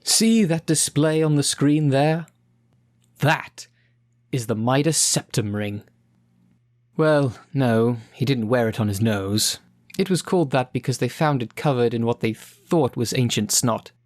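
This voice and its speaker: A man playing a mockingly polite, theatrical supervillain in an audio roleplay, talking with deliberate, taunting menace. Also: medium pitch, a little mellow, no room sound, studio quality, close to an everyday voice. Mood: condescending. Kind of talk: audio roleplay addressed to the listener.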